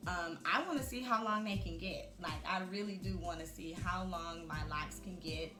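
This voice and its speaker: A woman in her mid-thirties speaks on a vlog in a reflective, chatty way, with fillers scattered through her thoughts. Her voice sits a little low for a woman. The room rings with echo, and music plays behind her. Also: normal speed. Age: 30s. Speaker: vlogger. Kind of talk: casual talk to camera.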